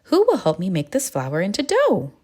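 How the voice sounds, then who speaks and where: Woman voicing an emphatic, animated little red hen. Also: mid-range voice, normal speed, voice pushed up. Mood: eager, asking. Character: industrious, indignant little red hen, female storybook animal. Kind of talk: children's storybook read-aloud.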